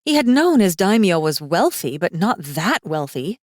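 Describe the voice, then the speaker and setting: Low-voiced woman narrating fantasy fiction. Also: very animated, normal speed, no echo, clean studio sound. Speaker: audiobook narrator.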